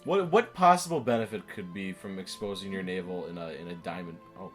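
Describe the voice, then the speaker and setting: A man's voice: sits low, brisk, faint music behind. A man co-hosting a gaming show, chatting casually and a bit sardonically as he nitpicks the game. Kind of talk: casual chat commentary over video game.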